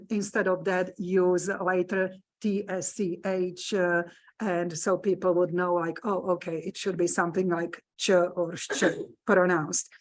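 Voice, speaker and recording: A woman with a Slovak accent, a native Slovak speaker, giving a genealogy lecture to a room audience and online viewers, talking hesitantly with many fillers. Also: mid-range voice. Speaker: genealogy presenter. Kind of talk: hybrid library lecture. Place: meeting room with live audience.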